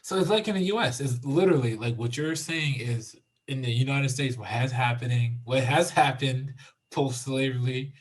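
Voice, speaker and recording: A man hosting a casual chat, talking in an animated, meandering way with many "you know"s. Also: medium pitch, normal speed, rough recording. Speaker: host. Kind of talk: casual conversation.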